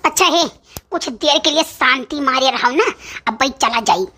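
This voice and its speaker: A performer voicing a fretful, panicky cartoon village woman with a squeaky high voice and an Awadhi accent, wailing and pleading in comic exclamations. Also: normal speed, pushed and bright. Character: panicky squeaky village women fleeing a tiger, fretful and squabbling. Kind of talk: animated comedy dialogue in cartoon skit.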